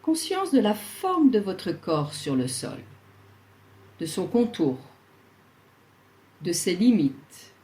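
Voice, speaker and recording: A woman leading a guided yoga relaxation, speaking soothingly and leaving long pauses between short instructions. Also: medium pitch, wildly swooping pitch, dark tone.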